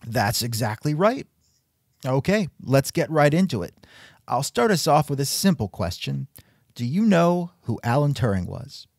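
Sardonic male comedy host, animated intonation, dry close mic. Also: mid-range voice, unhurried, vocal fry, dry recording. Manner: sardonic, exasperated. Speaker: podcast host. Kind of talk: scripted comedic interview.

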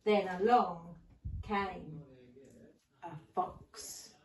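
Woman storyteller, teacher style: animated, slow and deliberate narration for small children, mic at some distance. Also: fairly low pitch, slight background noise. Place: living room at home. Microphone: camera a few metres away. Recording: roomy, distant camera microphone.

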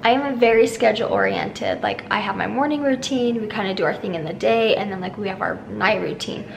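A young American woman with a slightly hoarse voice, chatting casually to a handheld camera at home as a family vlogger. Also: mid-range voice, very animated, a little fast, slight background noise, poor audio. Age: young adult. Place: kitchen and living room at home. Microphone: camera held at arm's length.

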